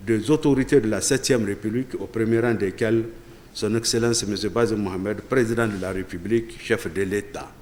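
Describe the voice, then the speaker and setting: A man from Niger, giving a formal ceremonial speech as a regional government official. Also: fairly low pitch.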